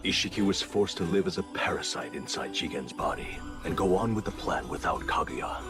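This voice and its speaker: A calculating scientist in an anime. The deep voice stays dry and unflappable. Each explanation comes out measured and nonchalant. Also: male voice, expressive, over music. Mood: calm, calculating. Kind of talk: anime dub voice acting.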